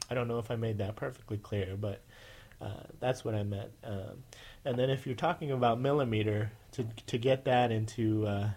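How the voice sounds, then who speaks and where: A man's voice: lowish voice, a little mellow. A man hosting a casual podcast, with a nasal voice, rambling with many fillers and restarts. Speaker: podcast host.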